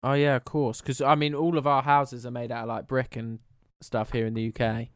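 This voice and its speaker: An easygoing British speaker asks questions in relaxed chat, laughing often. The recording is close and dry, with no room sound around the voice. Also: mid-range voice, a little fast, no echo. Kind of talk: casual chat.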